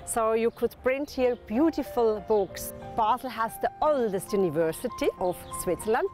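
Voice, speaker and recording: A woman's voice: fairly high pitch, expressive, moderate pace, darkish tone, faint music behind. A woman in her fifties, a city tour guide, explaining the old town in a chatty, informative way. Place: old town streets and cloister, outdoors.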